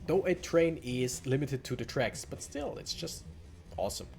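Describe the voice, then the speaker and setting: A man's voice: mid-range voice, animated, moderate pace, gravelly, a little mellow. A man giving casual, rambling commentary as he plays through a video game, musing aloud. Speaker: video game let's player. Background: faint game music.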